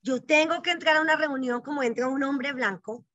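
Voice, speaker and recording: Bright-voiced woman telling her story earnestly. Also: sits high, brisk, dry recording. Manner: earnest, reflective, uses frequent tag questions. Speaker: guest, psychologist and bank executive. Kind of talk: personal storytelling in an interview. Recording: dull, dry, slightly lo-fi.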